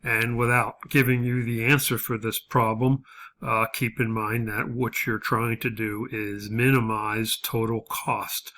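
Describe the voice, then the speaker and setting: A male instructor with a mid-range voice walks through material step by step. His delivery is halting, with frequent pauses and hesitations as he explains. It sounds like a spoken software tutorial. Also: medium pitch, flat delivery, a little hiss or hum.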